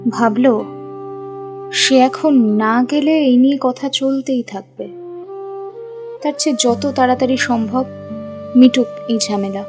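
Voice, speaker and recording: A woman reads scripted narration for an audio story. Her voice sits a little high, with light music playing behind her. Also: slow pace, pauses often.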